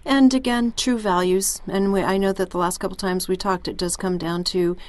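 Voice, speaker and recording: A woman's voice: mid-range voice, fairly even intonation, moderate pace, darkish tone, no echo. A woman conducting a conversational phone interview, agreeing often and phrasing her questions with some hesitation. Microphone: telephone call.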